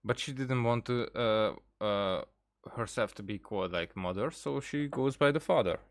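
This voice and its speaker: A man's voice: mid-range voice, normal speed. A man giving casual, rambling game commentary, thinking aloud hesitantly and often repeating words as he goes. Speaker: gaming video creator.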